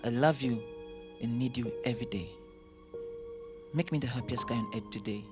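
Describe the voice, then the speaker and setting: An actor playing an affectionate, teasing young doctor and favoured son in an audio drama, earnest and playful. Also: male voice, medium pitch, moderate pace, over music, close to an everyday voice. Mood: romantic, playful. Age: young adult.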